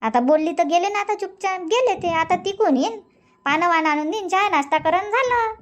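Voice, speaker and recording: Woman acting a village housewife, high voice, rural Vidarbha Marathi accent, chatty, nagging, emphatic, cartoon comedy. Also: expressive, brisk. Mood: chatty, explaining. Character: bickering but loving Indian village wife and mother-in-law. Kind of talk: cartoon comedy dub dialogue.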